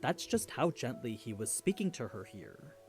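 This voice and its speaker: Man narrating with lively, swinging pitch. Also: moderate pace, soft background music. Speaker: narrator of an explainer video. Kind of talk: scripted narration.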